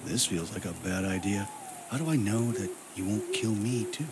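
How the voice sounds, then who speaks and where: A man's voice: fairly low pitch, moderate pace, lightly acted. A man voicing a distrustful, troubled and reluctant man in a video game. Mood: wary, distrustful. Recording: clear background ambience noise.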